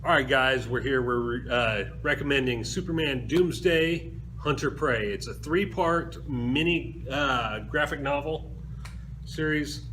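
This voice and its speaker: A man's voice: medium pitch, slow, fairly bright tone. A noisy amateur recording carries a man presenting a web show. He has a mid-range voice and hosts in a casual, conversational style, as if talking to viewers he calls guys. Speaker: host of a comics web show.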